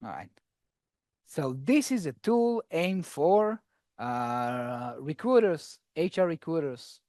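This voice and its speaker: A man speaking at a developer conference, walking through a live coding demo in a loose, chatty way and trading jokes with the audience. His voice sits a bit high for a man. The sound is dry and close, with almost no room echo. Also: big pitch swings, slow, dark tone.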